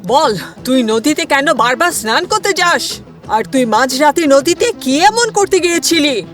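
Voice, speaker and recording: A woman's voice: high voice, lively intonation, a little slow, a bit bright, soft background music. Woman as a bossy, scolding mother-in-law. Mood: angry. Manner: scolding, commanding.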